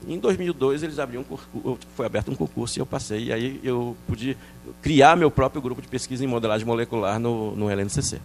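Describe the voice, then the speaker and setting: A middle-aged man from France, a researcher explaining his work in a TV interview, quick and animated, with many restarts and fillers. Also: mid-range voice, gravelly, slight background noise. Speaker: researcher guest.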